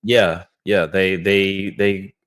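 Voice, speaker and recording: Low-voiced man in casual podcast chat. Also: flat delivery, takes it slowly, fairly bright tone. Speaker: podcast co-host.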